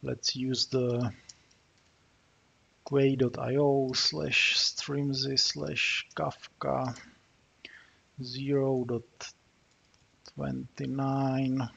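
A man with a Czech accent walking through a software demo as he types, with frequent filler pauses. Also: medium pitch, little pitch movement, vocal fry, breathy edge. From Czech Republic. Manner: narrates while typing, frequent uh fillers. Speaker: software developer demoing a tool. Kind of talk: screencast tutorial walkthrough. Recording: slightly dull, muffled recording with keyboard typing.

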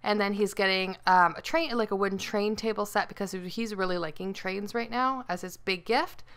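A woman's voice: medium pitch, moderate pace, fairly bright tone, clean tone. A woman in her thirties chatting casually to the camera as a vlogger in her home, upbeat with lots of "like" and "um". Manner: chatty, upbeat, many fillers. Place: home dining room and kitchen.

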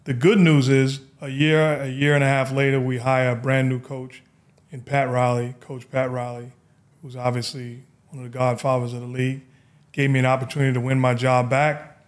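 A middle-aged man with a New York accent, hosting a casual podcast chat and telling stories in a relaxed, reflective way from a high-rise studio on a boom mic. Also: moderate pace. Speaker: podcast host. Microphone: studio mic on boom arm.

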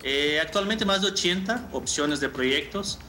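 A man in his thirties answering interview questions informally, a little haltingly and with repeated phrases, from a room over a video call. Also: a little high, lively intonation, bright, forceful tone, faint music behind. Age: 30s. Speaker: marketing manager, interview guest.

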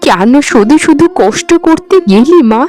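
A woman's voice: fairly high pitch, lively intonation, a little slow, darkish tone, soft background music. A woman playing a poor, careworn, tender mother in a cartoon, speaking in a plaintive, sighing, affectionate way. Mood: wistful. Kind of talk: animated Bengali folk-tale cartoon acting.

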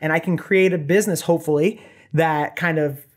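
A man in his thirties from Southern California, a company founder talking as a podcast guest, animated and full of "you know" fillers. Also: fairly high pitch, a little fast. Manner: animated, gestures a lot, many fillers like "you know" and "right". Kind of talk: casual interview talk. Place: small podcast studio with bookshelves. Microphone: desk microphone on boom arm.

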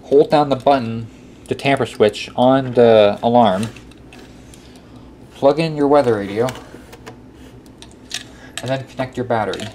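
A man's voice: mid-range voice, a little slow, long pauses, dark tone. A man presenting a casual step-by-step tutorial, often repeating himself as he instructs. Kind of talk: casual hands-on how-to instruction. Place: indoor workbench. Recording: clearly noisy amateur room recording. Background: clear background noise.

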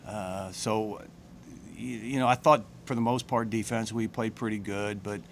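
A middle-aged man, a head football coach, answering questions at a postgame press conference in plain, halting speech full of fillers and restarts, into a table mic. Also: fairly low pitch, steady tone, slight background noise. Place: press room with branded backdrop. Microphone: table mic on a stand.

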